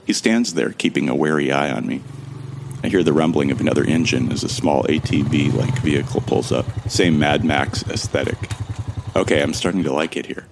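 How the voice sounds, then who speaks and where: A bewildered man with no memory , voiced by a male actor, tells his own story in a sci-fi audio drama. His voice is extremely deep and full of gravelly fry, with a wry, musing delivery. Faint noise sits behind it. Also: normal speed. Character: bewildered amnesiac man, wryly recounting his ordeal. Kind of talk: first-person scripted narration in a sci-fi audio drama.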